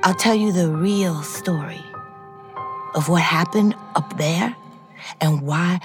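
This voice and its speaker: A woman's voice: lowish voice, slow, music in the background. An actress playing a streetwise middle-aged female ex-convict, telling her story in a profane, intense, conversational audio drama monologue. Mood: menacing, confiding. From New York City, United States.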